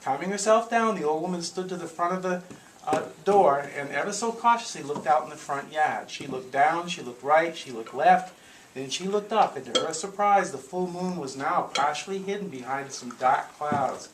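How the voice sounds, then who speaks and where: A man telling a story theatrically and deliberately to a group, with playful asides. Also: fairly high pitch. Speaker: live storyteller. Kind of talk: dramatic spoken storytelling to a live group. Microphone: small consumer video camera in the room. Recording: echoey noisy room, consumer camcorder audio.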